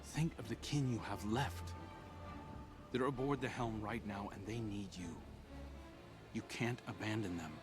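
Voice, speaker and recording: A man plays a steady commander, firm but diplomatic. His voice sits a little low and stays measured and authoritative. Music runs under the game dialogue. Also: close to an everyday voice. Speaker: military commander.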